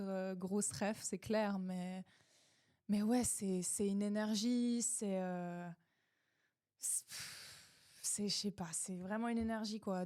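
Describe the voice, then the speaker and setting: A woman's voice: mid-range voice, darkish tone. A female pop soul singer from Lausanne talks as a podcast guest. She is enthusiastic and laughs easily. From Lausanne, Switzerland. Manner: enthusiastic, giggly. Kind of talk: casual podcast chat.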